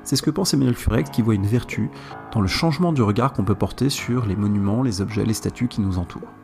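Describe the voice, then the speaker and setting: A man's voice: on the low side, fast talker, vocal fry, a little mellow, faint music behind. A man hosting a podcast, reading scripted links between interview excerpts. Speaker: podcast host.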